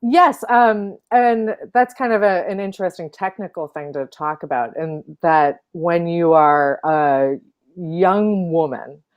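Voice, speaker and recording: A woman from Illinois, an opera singer, chatting as an interview guest over a video call, thoughtful with many fillers and soft laughs. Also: fairly low pitch, muffled recording. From Illinois, USA. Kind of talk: casual interview chat.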